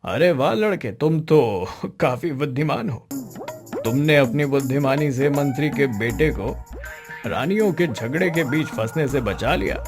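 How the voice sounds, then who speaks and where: A man plays a shrewd, stately cartoon king. He speaks formally, with measured authority and a mid-range voice, while music plays clearly behind him. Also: medium pitch, animated. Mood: pleased, regal. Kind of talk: Hindi moral-story cartoon dub.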